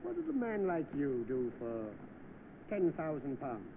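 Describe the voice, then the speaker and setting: A smug swindler in a 1930s film. His voice is high and very animated, suave but commanding and faintly menacing. It comes through a tinny old soundtrack. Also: measured pace, noisy background. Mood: sly, scheming. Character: smug, scheming male swindler, bossy and menacing.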